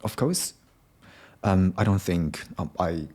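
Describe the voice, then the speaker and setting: A young man with a Japanese accent, speaking English as a learner in an unscripted solo podcast. He hesitates, restarts often and fills pauses with "um". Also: low voice, animated, darkish tone, slightly rough. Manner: hesitant, many ums and restarts. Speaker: podcast host.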